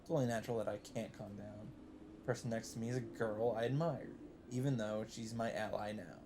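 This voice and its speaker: A man hosting a let's play, reading visual novel text aloud in an even voice and breaking in with blunt, swearing asides. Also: lowish voice, fairly quick, background noise. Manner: reads narration evenly, breaks in with swearing asides.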